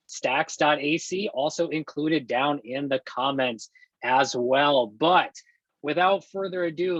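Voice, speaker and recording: A man hosting an interview, introducing his guest in a friendly, measured way. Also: fairly high pitch, husky, dry recording, lo-fi sound. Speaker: podcast host.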